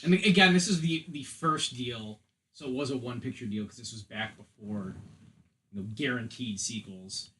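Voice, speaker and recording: A man's voice: mid-range voice, normal speed, fairly bright tone, slight background noise, low-quality recording. A man co-hosting a podcast in casual, opinionated chat. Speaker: podcast co-host.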